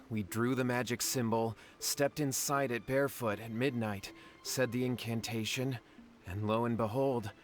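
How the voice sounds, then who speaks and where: A man's voice: medium pitch, a bit bright, close to an everyday voice. A man playing a nervous, cowardly young townsman in a video game, flustered and pleading as he talks.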